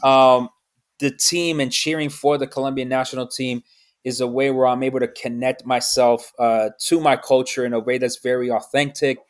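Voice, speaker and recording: A man from Miami, speaking as a podcast guest in a mid-range voice. He talks earnestly and reflectively but haltingly, stumbling over restarts and repeating words as he gathers his thoughts. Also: mid-range voice, little pitch movement. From United States, raised in Miami. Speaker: podcast guest, football fan. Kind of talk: casual interview.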